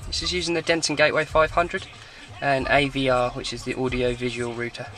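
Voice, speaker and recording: A man with an average-pitched voice gives an informal walkthrough of equipment he has fitted. He talks off the cuff in a matter-of-fact way, recorded on a handheld camera in and around a parked car, with the car stereo clearly playing behind him. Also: little pitch movement, a little fast, pushed and bright, soft background music. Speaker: car audio installer presenting a demo.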